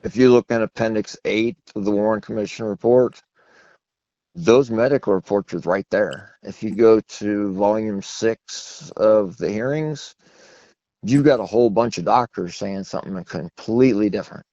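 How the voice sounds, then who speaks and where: Male interview guest, low voice, long-winded storyteller with a self-deprecating streak, dull dry phone audio. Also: moderate pace, warm tone, dry recording. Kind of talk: rambling phone interview conversation. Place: phone call. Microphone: telephone. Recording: muffled low-fidelity phone line.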